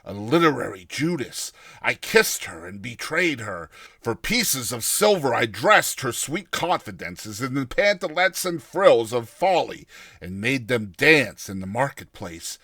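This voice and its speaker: A man reading a classic short story aloud as a dramatized first-person narration, with a theatrical, expressive delivery. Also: mid-range voice, unhurried, no room sound, studio quality.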